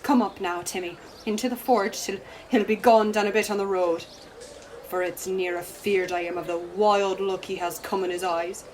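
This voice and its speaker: A woman's voice: mid-range voice, fairly even intonation, moderate pace, noisy background, close to an everyday voice. An actress playing a proud, scornful young Irish village woman in a radio play, haughty and taunting. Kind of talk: theatrical radio play acting.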